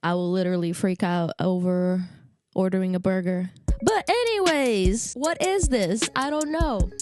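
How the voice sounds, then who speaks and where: A woman's voice: medium pitch, a little slow, darkish tone, no echo, studio quality. A young woman from Brazil, chatting casually and rambling through a storytime vlog, self-mocking and jumping between tangents. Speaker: vlogger. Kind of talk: casual storytime chat to camera.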